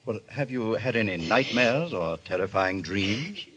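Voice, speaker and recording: An actor playing a measured, cautious psychiatrist, probing and reassuring with hesitant phrasing in 1940s radio thriller style. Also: male voice, lowish voice, expressive, fairly bright tone, a little hiss or hum.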